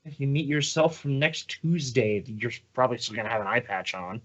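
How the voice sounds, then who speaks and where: A man's voice: medium pitch, steady tone, brisk, a bit bright, dull audio. A man from the American Northwest with an American accent, co-hosting a podcast in playful, joking casual chat. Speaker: podcast co-host.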